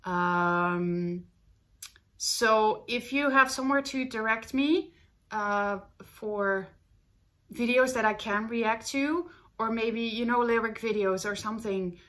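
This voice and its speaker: A woman's voice: fairly high pitch, takes it slowly, a bit bright. A woman in her twenties giving casual, chatty commentary as a music reactor, thinking aloud with enthusiasm in a small room at home.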